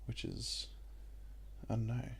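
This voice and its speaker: A young Australian man with a croaky voice, chatting casually and rambling with lots of ums while he plays a puzzle game. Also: takes it slowly, slight background noise. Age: young adult. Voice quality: croaky, gravelly voice. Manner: rambling and relaxed, with many ums. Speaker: gaming YouTuber. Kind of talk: casual let's-play commentary.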